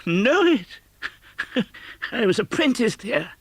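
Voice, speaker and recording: A man's voice: high-pitched, big pitch swings, slow, creaky, fairly bright tone, slightly rough, no room sound, pitched above the performer's own voice, exaggerated voice. A man doing a quavering old miser. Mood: excited. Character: excitable old male miser, wistful.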